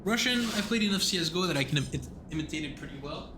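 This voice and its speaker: A man's voice: on the high side, animated, quick pace, noisy background. A man streaming a game, reading the text aloud and chatting in a rambling, self-mocking way, with some swearing.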